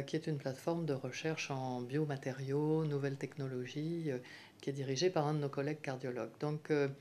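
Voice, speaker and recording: A middle-aged woman from Paris, a geriatrics professor, explaining her department in a measured way with frequent hesitations. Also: low-pitched, dark tone, slight background noise. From Paris, France. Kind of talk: explanatory interview answers.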